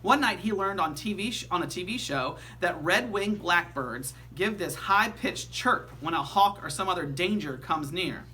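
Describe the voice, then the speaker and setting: A man reads a children's book aloud in an expressive, emphatic way, as a teacher would to pupils. His voice is bright and forceful, recorded with faint noise and a hum. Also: high-pitched, normal speed, few pauses. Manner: animated, emphatic storytelling.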